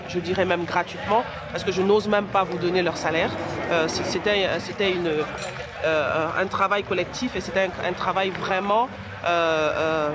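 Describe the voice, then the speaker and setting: A man's voice: high-pitched, background noise. A man speaks as a filmmaker in an interview, hesitantly searching for words. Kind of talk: interview account.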